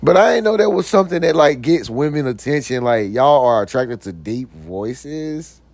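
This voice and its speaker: A man's voice: lively intonation, moderate pace, vocal fry, darkish tone, dry recording. A young man hosting his own podcast, talking loosely with lots of slang and "you know what I'm saying", joking around as he goes. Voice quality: voice recently got deeper. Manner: jokes around, puts on silly voices. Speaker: podcast host. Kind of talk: casual motivational talk.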